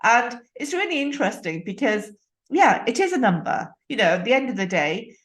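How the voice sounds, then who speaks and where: A woman in her late fifties hosting a podcast, talking in an upbeat, emphatic and animated way with her guest. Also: fairly high pitch, normal speed. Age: late 50s. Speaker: podcast host. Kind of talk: interview conversation.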